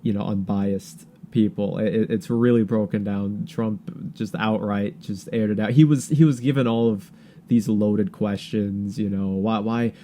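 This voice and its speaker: A man co-hosting a podcast in casual political chat, halting and repeating words as he searches for his thoughts. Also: fairly low pitch, steady tone, dark tone, a little hiss or hum. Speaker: podcast co-host.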